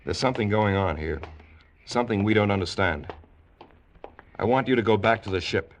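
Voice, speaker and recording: An actor playing a skeptical, commanding spaceship captain in an old-time radio drama, with an incredulous, authoritative manner. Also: male voice, lowish voice, fairly quick, a little hiss or hum, natural voice. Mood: firm, commanding.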